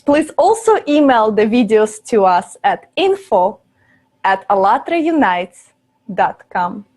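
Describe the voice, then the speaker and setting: A woman moderating an online conference, reading polite, deliberate scripted announcements. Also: medium pitch, very animated, darkish tone.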